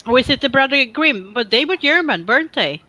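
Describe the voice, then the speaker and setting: A bright, forceful voice with a clear Swedish accent belongs to a person who chats loosely and wanders off mid-thought. The talk is playful and teasing, full of restarts and self-corrections, in a relaxed online hangout. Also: high-pitched, fairly quick. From Sweden. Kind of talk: casual chat.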